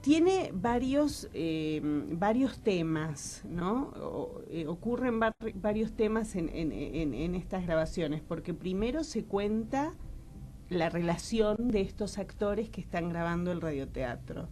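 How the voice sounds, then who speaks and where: Low-voiced Argentine woman in relaxed interview chat. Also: slow pace, a little hiss or hum. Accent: Argentine, Rioplatense. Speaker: actress, interview guest. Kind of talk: casual radio interview chat.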